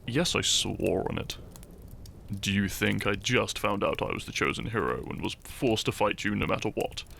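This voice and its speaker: A man playing an earnest, shy young hero in a roleplay audio drama, speaking to the listener in a halting, theatrical way. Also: lowish voice, vocal fry, a bit bright, a little hiss or hum. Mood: flustered, earnest. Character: shy young hero, earnest, secretly fond of his foe.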